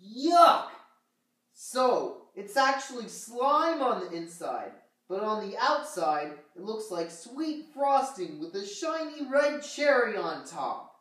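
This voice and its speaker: A woman's voice: a little high, big pitch swings, slow pace, fairly bright tone, heavily acted. A woman as an eager, naive shoe puppet, very echoey. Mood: curious, grossed out. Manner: simple, wide-eyed questioning. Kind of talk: children's puppet show dialogue. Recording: very echoey room, slightly distant.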